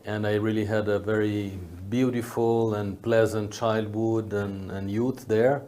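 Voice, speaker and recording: Low-voiced man, halting in thought. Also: little pitch movement, mellow. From Brazil, grew up in Rio. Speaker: interview guest, hotel executive. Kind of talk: conversational interview answers.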